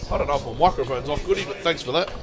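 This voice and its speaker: A man giving relaxed, chatty lawn bowls commentary, full of fillers and asides. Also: fairly high pitch, very fast, soft background music. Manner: easygoing, chatty, many fillers. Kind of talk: casual sports commentary.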